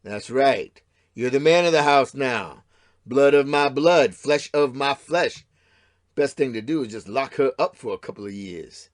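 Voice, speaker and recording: A man's voice: medium pitch, expressive, measured pace, natural voice. An actor playing a crude, menacing middle-aged father in an audio play, with swaggering, goading macho talk. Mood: sly, probing. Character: menacing, crude middle-aged father, estranged husband, needling his son.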